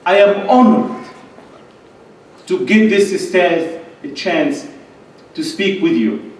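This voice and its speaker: A man's voice: high voice, expressive, takes it slowly. A middle-aged man giving an impassioned, emphatic religious and political speech in short repeated phrases. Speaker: conference speaker. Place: large indoor lecture hall at a podium. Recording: echoey hall, distant microphone.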